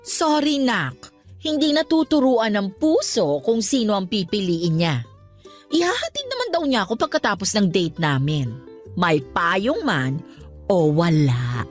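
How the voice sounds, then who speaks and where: A woman's voice: medium pitch, sing-song, measured pace. A sassy, lovestruck older Filipino mom in a radio drama. Her melody swings widely as she teases with campy, vain flair. Light music plays underneath. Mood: apologetic, playful. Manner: playful, campy, slangy teasing. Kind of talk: Tagalog radio drama acting.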